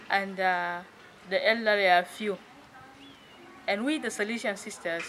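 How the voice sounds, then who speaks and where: Hesitant young nun. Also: female voice, medium pitch, noisy background. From South Sudan. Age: young adult. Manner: hesitant, pauses with many fillers. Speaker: religious sister and educator. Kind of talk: interview answers.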